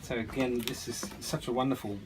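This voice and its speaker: Man, middle-aged, medium pitch, halting casual storyteller, noisy live recording. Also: fairly even intonation. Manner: halting, searching for words. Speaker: storyteller showing family photo archive. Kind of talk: unscripted reminiscing, casual chat.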